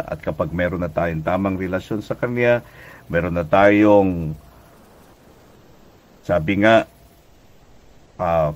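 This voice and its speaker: A man's voice: low-pitched, muffled recording. A Filipino man preaching as a pastor and radio host, speaking conversationally and earnestly, exhorting listeners with polite address.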